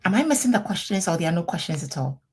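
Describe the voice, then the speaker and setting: A woman in middle age, talking on a live stream as an immigration attorney. She reads out viewer comments and answers them in a chatty, animated way. Her voice sits a little low, picked up by a webcam mic at her desk. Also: racing, breathy edge.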